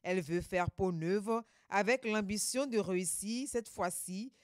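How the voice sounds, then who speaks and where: A woman's voice: mid-range voice, unhurried, no echo, studio quality. A woman narrating a scripted TV news report.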